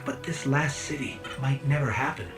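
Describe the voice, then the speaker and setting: A man's voice: mid-range voice, normal speed, lightly acted. A man voicing a wry, encouraging robotic companion spirit in a video game, conversational and earnest. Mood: gentle, encouraging. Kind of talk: cinematic video game voice acting. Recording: music behind the voice.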